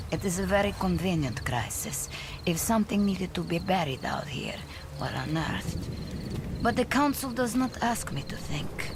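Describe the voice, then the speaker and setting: Grim veteran fighter woman, low voice, brooding and wry, game cutscene, night insects behind. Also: background noise. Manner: measured, brooding, wry storytelling. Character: grim, wry veteran woman warrior, half-blinded, of haunted homeland. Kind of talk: video game cutscene monologue.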